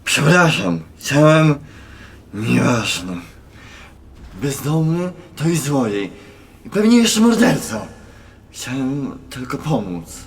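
A man's voice: medium pitch, big pitch swings, slow pace, long pauses, slightly rough, heavily acted. A man acting a bitter, regretful homeless man, muttering with resignation in a radio play.

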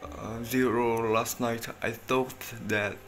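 Young man as slow, halting sheriff. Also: medium pitch, little pitch movement. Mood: halting, matter-of-fact. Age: young adult. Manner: halting, hesitant reading of lines. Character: Henry Peters, small-town sheriff reporting on the scene. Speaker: student actor in a class play. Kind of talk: amateur stage-play acting. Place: indoor kitchen. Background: music.

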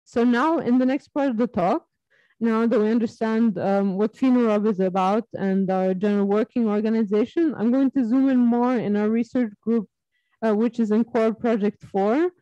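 A woman in her thirties from Serbia, giving an academic webinar talk on her research, measured and full of ums and uhs. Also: fairly high pitch, warm tone, no echo. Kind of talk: academic presentation. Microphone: video call. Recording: dull lo-fi video call audio.

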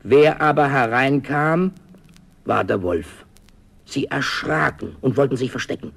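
A man narrating a fairy tale as a storyteller, expressive and theatrical, in a dramatic scripted style. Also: mid-range voice, unhurried, gravelly, husky.

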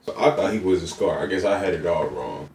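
A young man hosting a reaction video, chatting loudly and thinking out loud on a headset in a small room. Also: low voice, expressive, a little fast. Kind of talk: casual reaction commentary. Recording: roomy echo, distant mic, faint noise.

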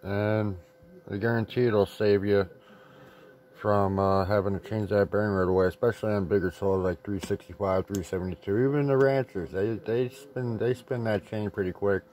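Man, low voice, casual how-to talk, rambling, plain-spoken, a bit salty. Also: fairly even intonation, moderate pace, a little mellow. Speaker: chainsaw repair tip presenter. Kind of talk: casual hands-on tutorial.

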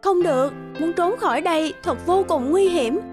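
A woman voicing a brave, gentle young princess in a fairy-tale cartoon. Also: extremely high pitch, moderate pace, music in the background. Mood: wary.

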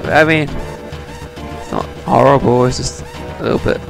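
A man's voice: medium pitch, huge pitch swings, unhurried, creaky. A man giving casual, sarcastic commentary while playing a video game, muttering to himself. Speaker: let's player. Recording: game music underneath. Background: game music.

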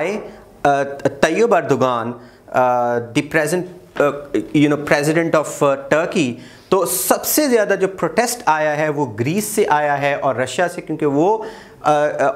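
A man gives an exam-prep history lecture. He gestures a lot, repeats key dates and often pauses with "uh". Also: a little high. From Pakistan. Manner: animated, gestures widely, repeats key dates, frequent "uh" fillers. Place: small room in front of a whiteboard. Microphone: lapel mic.

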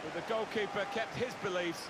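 A man giving play-by-play football commentary, building excitement whenever a chance opens up. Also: high voice, moderate pace. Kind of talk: lively match commentary. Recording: in-game commentary under loud game noise.

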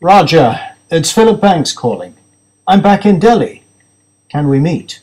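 A man's voice: on the high side, very animated, unhurried, a little mellow, pitched above the performer's own voice, poor audio. A harried businessman in an audiobook story, played by a male reader. He talks in blunt, emphatic bursts, curt yet affectionate, with the weight of pressure on him. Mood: urgent.

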